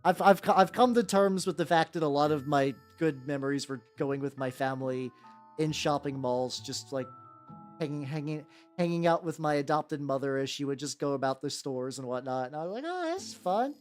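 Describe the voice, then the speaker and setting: A young man with a relatively high voice chatting casually on a livestream while painting miniatures, rambling and often repeating himself. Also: fairly quick. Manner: rambling, repeats words, laughs often, squeals in playful high bits. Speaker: VTuber streamer. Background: soft background music.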